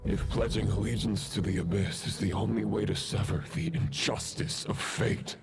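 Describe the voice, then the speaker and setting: Casting: a proud, embittered fallen fighter in an anime game, low and creaky, grave, deliberate. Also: male voice. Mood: bitterly resolute. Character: brooding fallen male warrior, solemn, proud, embittered. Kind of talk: dramatic anime game voice acting. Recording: loud music under the voice.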